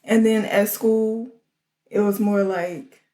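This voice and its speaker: A young woman from New Orleans with a light New Orleans accent, co-hosting a podcast in a relaxed, conversational way that is full of "like". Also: medium pitch, slow pace. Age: young adult. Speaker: podcast co-host. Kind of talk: casual chat. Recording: roomy echo.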